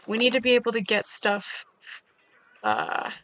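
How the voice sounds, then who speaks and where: A woman with a slightly hoarse voice giving casual, chatty commentary while she plays a survival game, making wry asides to herself. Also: a little high, sing-song, a little slow, fairly bright tone, dry recording. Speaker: gaming video creator.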